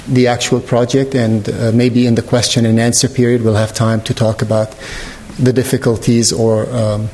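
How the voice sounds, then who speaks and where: A man's voice: mid-range voice, a little mellow, slight background noise. Reflective man lecturing in a reverberant hall. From Lebanon. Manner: hesitant, searching, measured phrasing with careful formal wording. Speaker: visual artist giving a lecture. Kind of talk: reflective public artist talk. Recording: echoey hall with long reverb.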